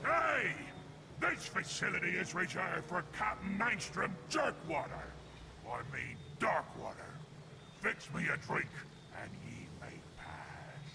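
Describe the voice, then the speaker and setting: Gruff theatrical old pirate for a game; archaic speech, wildly swooping delivery, music underneath. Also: male voice, high voice, big pitch swings, slow pace, full character voice. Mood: gruff, commanding.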